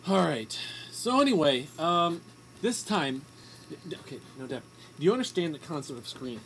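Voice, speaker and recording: Animated, bossy comedy radio host. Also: mid-range voice, moderate pace, rough recording. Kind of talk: improvised comedic radio banter.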